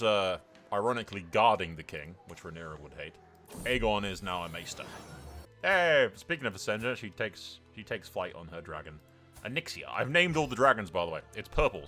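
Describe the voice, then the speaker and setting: A man with a fairly low, bright and forceful voice talks through a roleplay game as its host. His commentary is casual and irreverent, peppered with swearing. Faint music plays behind him. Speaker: host of a gaming roleplay playthrough.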